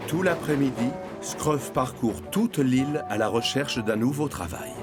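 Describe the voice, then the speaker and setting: A man narrating a children's cartoon in French, in an expressive storytelling manner. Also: medium pitch, a little slow. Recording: music bed behind the voice.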